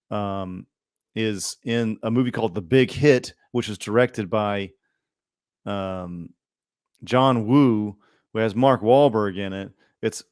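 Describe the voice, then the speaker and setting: A man's voice: on the low side, dry recording, studio quality. A man co-hosting a podcast, chatting casually and enthusiastically. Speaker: podcast co-host.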